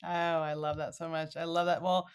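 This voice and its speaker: A woman's voice: sits low, little pitch movement, normal speed, clear, resonant tone. A woman hosting a conversational podcast interview in an effusive, agreeable manner. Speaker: podcast host.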